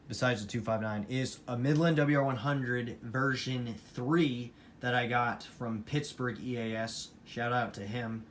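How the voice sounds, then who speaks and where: A man's voice: mid-range voice, a bit bright. A man talking casually and unscripted as a hobbyist presenting a collection, emphatic and repeating phrases for emphasis. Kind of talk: casual unscripted vlog talk. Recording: clear background noise, amateur room recording.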